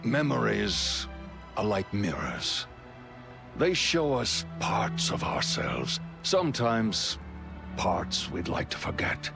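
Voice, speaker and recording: Casting: noble male paladin in fantasy game, creaky voice, measured pace, formal counsel, over score. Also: fairly low pitch, huge pitch swings, exaggerated voice. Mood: gentle counsel. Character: noble, solemn winged holy knight, gentle counsellor. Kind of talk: fantasy game cutscene acting. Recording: music behind the voice. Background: game score music.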